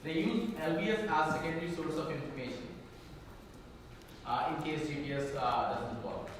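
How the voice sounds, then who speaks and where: A man's voice: on the high side, moderate pace, slight background noise. Hesitant male presenter in an echoey hall. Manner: hesitant, many fillers and restarts. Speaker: conference presenter. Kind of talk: conference talk with Q&A. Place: hotel conference room on stage. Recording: echoey hall, far from the mic.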